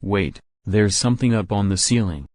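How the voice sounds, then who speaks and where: A man's voice: lowish voice, moderate pace, no room sound, clean studio sound, natural voice. A man playing an observant member of a monster-fighting team, speaking short plain lines in an amateur game drama. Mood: alarmed.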